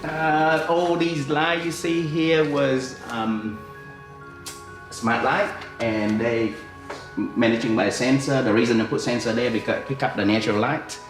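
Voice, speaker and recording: A man with a Vietnamese accent speaking in halting, earnest English in an interview. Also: medium pitch, fairly even intonation, over music. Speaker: householder interviewee. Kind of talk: casual interview answers. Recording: echoey room.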